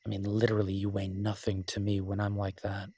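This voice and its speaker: Male actor as devoted alpha werewolf lover for romantic audio drama: low, even, intimate, teasing and protective. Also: slightly rough. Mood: playful, teasing. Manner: tender, teasing, unhurried pauses.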